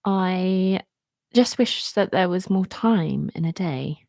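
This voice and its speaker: A woman's voice: expressive, slow, creaky, darkish tone, no echo, studio quality. A woman in her thirties, from Britain, co-hosting a live podcast in casual, chatty talk and laughing often. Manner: chatty, laughs often, slips into a high pet voice. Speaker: podcast co-host. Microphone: podcast microphone.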